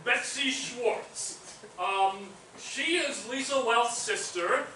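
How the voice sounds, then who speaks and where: A man acts out a fumbling, clueless narrator in a stage comedy, hesitant and very high in pitch. The voice is piercingly bright, in a heavily echoed recording. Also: takes it slowly, far from the mic, exaggerated voice. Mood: sheepish. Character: clueless, fumbling male stand-in narrator reading exposition. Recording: echoey stage recording from afar, faint noise.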